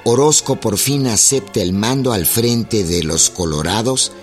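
A man with a Mexican accent giving measured, dramatic narration for a historical radio drama. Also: on the low side, soft background music, close to an everyday voice.

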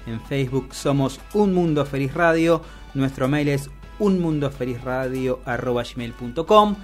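A man's voice: medium pitch, a little slow. A man with an Argentine Rioplatense accent co-hosting a radio show, talking casually and making announcements. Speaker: radio co-host. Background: music.

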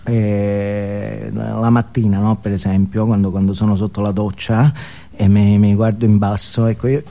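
A man playing a timid, ashamed support-group member who confesses haltingly and apologetically in a comic stage monologue. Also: fairly low pitch, level tone, creaky, dark tone, pitched below the performer's own voice. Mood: nervous, ashamed.

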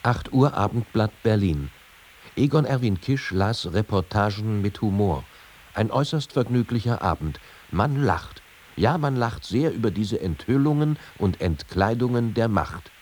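Amused newspaper reviewer, lowish voice, faint hiss behind. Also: male voice, lively intonation, unhurried, a little mellow. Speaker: actor reading a newspaper review. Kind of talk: dramatized press reading.

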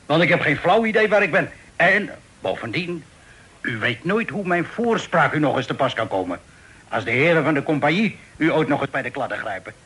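A captive sea captain in a radio play, with a husky, bright voice. He is pious and stubborn, speaking in a folksy, wry storyteller's manner. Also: on the high side, big pitch swings, a little fast, heavily acted. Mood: firm, bargaining. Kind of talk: Dutch radio drama acting.

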